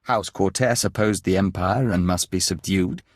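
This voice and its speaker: An actor playing a calm emissary of an insect hive in a video game, speaking formally and with detachment, as a collective "we". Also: male voice, sits low, normal speed, dry recording, studio quality. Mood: insistent.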